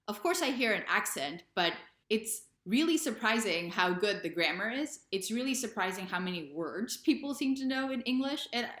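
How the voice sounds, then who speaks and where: A woman's voice: medium pitch, fairly bright tone. A young American woman in her twenties or thirties talking in a casual, conversational and emphatic way, explaining things as a video presenter. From United States. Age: 20s or 30s. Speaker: video presenter, expat vlogger. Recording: roomy, echoing sound.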